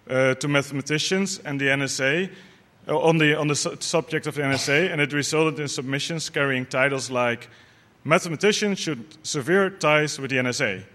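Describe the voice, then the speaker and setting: A man's voice: on the high side, bright, forceful tone. A man giving an earnest prepared speech at a conference, with a few hesitations. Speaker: conference speaker.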